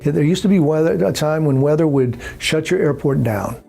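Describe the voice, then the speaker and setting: Mellow, gravelly man in interview. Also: fairly high pitch, brisk, soft background music. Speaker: air traffic controller. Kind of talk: unscripted interview explanation.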